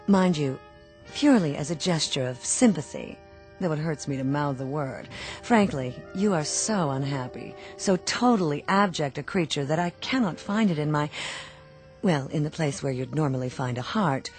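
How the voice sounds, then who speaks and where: An actress playing a cool, mocking devilish dealmaker in a radio drama, sardonic and condescending. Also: female voice, deep voice, lively intonation, normal speed, darkish tone, soft background music. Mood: sly, mocking. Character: cool, sly, mocking devilish woman striking bargains.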